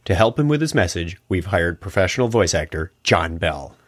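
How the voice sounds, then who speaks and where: A man playing a brisk, official announcer in a parody insurance commercial. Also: sits low, big pitch swings, no echo, studio quality.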